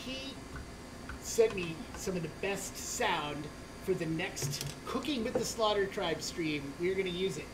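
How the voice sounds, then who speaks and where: A woman's voice: on the low side, animated, unhurried. Woman playing a jovial gore-loving orc butcher. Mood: hammy, cheerful. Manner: playful, hammy banter, relishing gore. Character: jovial female orc butcher of a savage tribe. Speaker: co-host. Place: studio kitchen set, indoors. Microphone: far camera. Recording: noisy studio room audio.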